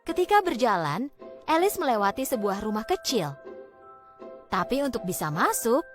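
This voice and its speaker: High-voiced, animated woman storyteller. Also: normal speed. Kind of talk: scripted children's fairy tale narration. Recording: background music.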